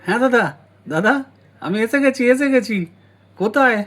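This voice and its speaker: Visiting younger brother, a young doctor, acted by a man: eager doll-story character, notably high-pitched. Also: normal speed, a bit bright. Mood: excited, concerned.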